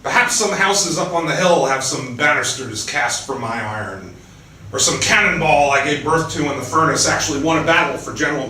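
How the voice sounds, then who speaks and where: A man's voice: a little high, sharp tone, pitched above the performer's own voice. A man voicing a weary, resentful middle-aged iron worker, speaking in a raised, pressed voice in a roomy space from a distance. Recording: roomy, distant mic, faint ambience.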